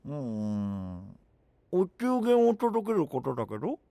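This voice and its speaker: A man's voice: medium pitch, wildly swooping pitch, slow pace, creaky, mellow, dry recording, exaggerated voice. A man playing a frank, cheerful and honest young knight in an anime voice drama, with a casual, exuberant manner. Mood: earnest. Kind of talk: Japanese anime voice drama acting.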